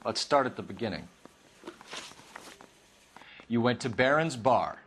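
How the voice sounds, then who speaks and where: An actor playing a stern, probing 1940s homicide detective in a video game, clipped, formal and accusatory. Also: male voice, mid-range voice, lively intonation, unhurried, a bit bright, slight background noise, rough recording. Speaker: police detective. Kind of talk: video game cutscene interrogation acting.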